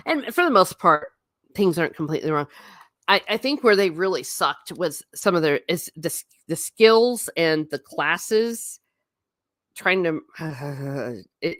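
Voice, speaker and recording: A woman with a notably low voice hosts a relaxed livestream, talking straight to her viewers and answering their comments. She is chatty and blunt, with lots of asides. The sound is dry and close, with no room echo. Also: a little slow. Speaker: livestream host. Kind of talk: casual livestream chat, reading viewer comments.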